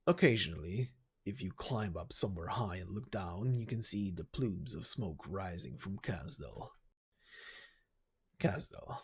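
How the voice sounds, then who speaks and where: A man's voice: low voice, creaky, husky, pitched below the performer's own voice, full character voice. A man playing a composed, weary mercenary captain in amateur voice acting of a game story. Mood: weary, reflective.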